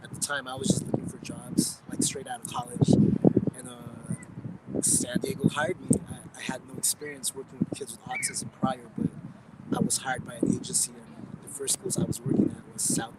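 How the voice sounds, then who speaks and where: A man in his thirties from the Philippines, raised in California, chatting casually in an outdoor interview, relaxed and rambling. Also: very high-pitched, normal speed, creaky. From Philippines, raised in Oxnard, California. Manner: relaxed, rambling, with many fillers and restarts. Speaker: interview guest. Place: outdoors by a bay, traffic nearby. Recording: loud outdoor street noise.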